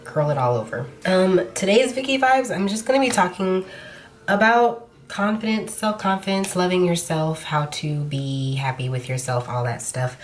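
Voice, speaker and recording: Nasal, low-voiced young woman vlogger. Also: normal speed, faint music behind. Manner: casual, frank, talks while applying makeup. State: nasal after being sick. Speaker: beauty vlogger. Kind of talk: chatty makeup tutorial talk.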